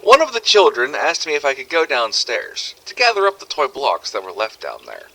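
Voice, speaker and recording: A man's voice: mid-range voice, huge pitch swings, normal speed, pushed and bright, exaggerated voice, low-quality recording. A man playing a weary, sarcastic survivor of a zombie outbreak, narrating first-person audio logs in a scripted game-story style. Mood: somber. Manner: sardonic, exasperated, swearing.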